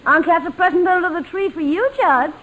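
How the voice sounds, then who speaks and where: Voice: extremely high pitch, fairly quick, bright, forceful tone. An actor playing an eager, impatient schoolboy nephew in an old-time radio sitcom, full of exclamations. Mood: eager, excited. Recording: noisy vintage radio recording.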